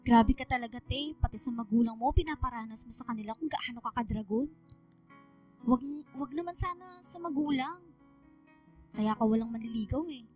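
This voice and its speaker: A woman's voice: a little high, normal speed, a little mellow, soft background music, natural voice. A woman with a Filipino accent playing a teasing, gossipy young best friend who talks in slang, in a radio drama.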